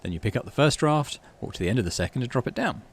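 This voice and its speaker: Middle aged guy doing a lets play, super animated range, reads story text out expressively. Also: mid-range voice, racing, slightly rough. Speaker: let's play host. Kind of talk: casual game commentary and narrated reading.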